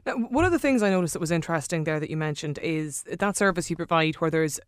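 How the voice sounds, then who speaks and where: A man presenting a radio show, asking a guest polite, measured questions with some fillers. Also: on the high side, lively intonation, clear, resonant tone, no echo, studio quality.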